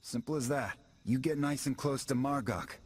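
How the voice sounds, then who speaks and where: An actor playing a weary, plain-spoken mine slave in a video game, with folksy, rough-edged speech. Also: male voice, mid-range voice, moderate pace, noisy background, lightly acted. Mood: eager, conspiratorial. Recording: faint music behind.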